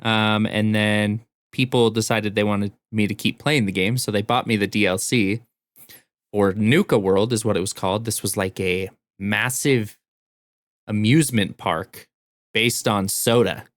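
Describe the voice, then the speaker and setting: Man, podcast co-host, slightly low voice, conversational, gaming chat, very dry sound. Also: fairly even intonation, measured pace, no room sound. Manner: informal, conversational.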